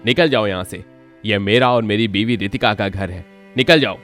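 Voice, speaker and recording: A man's voice: fairly low pitch, normal speed, fairly bright tone, lightly acted. A man voicing several village men in a cartoon story, speaking dramatically. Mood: angry. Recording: background music under voice.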